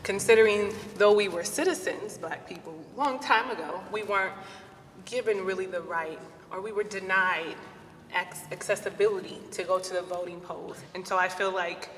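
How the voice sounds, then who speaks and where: A woman's voice: medium pitch, moderate pace, a bit bright, a little hiss or hum. Earnest young woman in a roomy hall. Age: 20s. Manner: earnest, heartfelt. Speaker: student, discussion participant. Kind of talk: debate discussion. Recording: roomy hall reverb, faint ambience.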